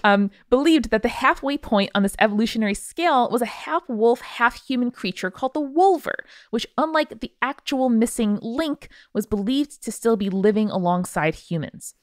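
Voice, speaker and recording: A woman's voice: on the high side, expressive, moderate pace, hardly any pauses, no room sound, studio quality. A woman hosting a podcast, reading out listener mail in a casual, irreverent way with wry asides. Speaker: podcast host.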